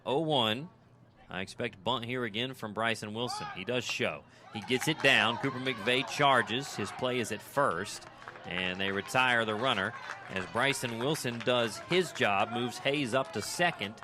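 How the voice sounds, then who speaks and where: An American man doing live radio play-by-play of a baseball game, in clipped descriptive phrases that turn conversational between pitches. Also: unhurried, background noise. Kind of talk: live sports commentary.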